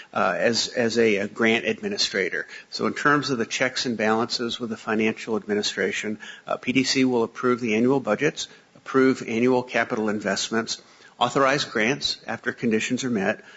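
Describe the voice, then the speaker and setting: A man with a slightly hoarse, husky voice giving a formal presentation to a commission, listing points methodically with frequent "uh" fillers. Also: mid-range voice, fairly bright tone, dull audio. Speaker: city agency staff presenter. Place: public meeting room.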